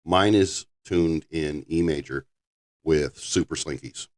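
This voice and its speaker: An older man with a very low voice, hosting a casual podcast chat, rambling and halting with frequent restarts. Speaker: podcast host.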